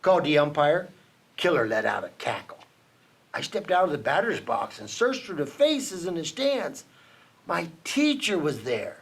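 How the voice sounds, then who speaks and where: A man reading a children's picture book aloud for a reading program, telling the story with dramatic pauses. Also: a little high, sing-song.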